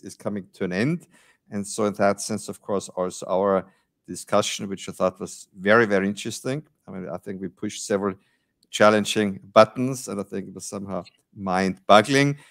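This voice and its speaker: A man moderating a panel discussion, measured and polite as he wraps it up. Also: lowish voice.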